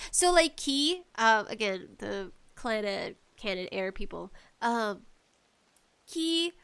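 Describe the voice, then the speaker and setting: A woman with a nasal, congested voice hosting a casual, rambling solo podcast in an animated, pleading way with self-deprecating asides. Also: sits high. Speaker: podcast host.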